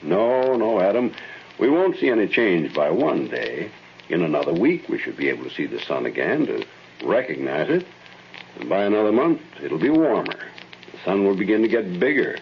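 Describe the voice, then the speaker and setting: A muffled, faintly noisy vintage radio recording of an aging man with a creaky, low voice. a fatherly, troubled scientist mentor. His delivery is theatrical and earnest, in 1940s radio drama fashion. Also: sing-song, moderate pace, exaggerated voice. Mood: hopeful. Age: older.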